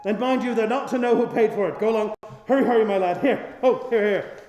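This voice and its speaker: A man's voice: high voice, fast talker, a little mellow, close to an everyday voice. A man playing an old miser reformed into giddy generosity in a theatrical radio play, exclaiming and repeating his words. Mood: overjoyed. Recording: echoey reverberant hall sound, music underneath. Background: music.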